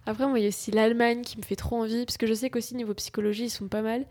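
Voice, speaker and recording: A young woman, a university student co-hosting a casual radio chat, lively and chatty. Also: a little high, very fast. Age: young adult.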